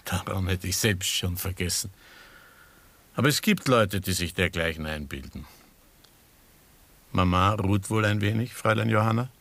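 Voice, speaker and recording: Low, gravelly, formal doctor. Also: male voice, moderate pace, long pauses, a bit bright, dry recording, full character voice. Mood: politely reserved. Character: proud, principled, dryly polite male doctor. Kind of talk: 1960s German radio drama acting.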